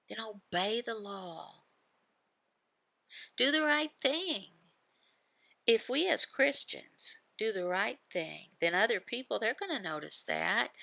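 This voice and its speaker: Slow, creaky-voiced woman teaching a devotional. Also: on the high side, bright, forceful tone, dry recording. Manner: halting short phrases, rhetorical questions answered by herself. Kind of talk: devotional teaching voicing a signed sermon.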